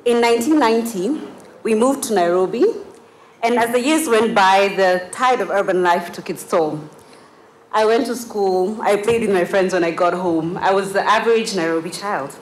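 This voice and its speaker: A woman's voice: mid-range voice, moderate pace, reverberant, slight background noise, low-quality recording. An eighteen-year-old woman from Kenya whose first language is Kiswahili, giving an earnest prepared speech at a gala dinner, with frequent uh fillers. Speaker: student speaker. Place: large banquet hall at a gala dinner.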